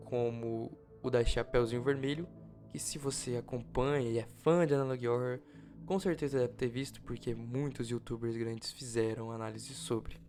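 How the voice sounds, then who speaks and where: A man's voice: mid-range voice, a little mellow. Brazilian man narrating over music. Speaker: YouTube channel co-host. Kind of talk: informal video narration about analog horror. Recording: music behind the voice. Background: background music.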